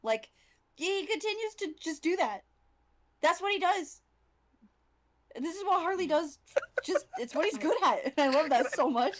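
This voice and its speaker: A woman's voice: extremely high pitch, expressive, normal speed, long pauses. A woman who acts in audio drama, chatting informally with enthusiasm and some swearing. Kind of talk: casual chat, gushing fan-like praise.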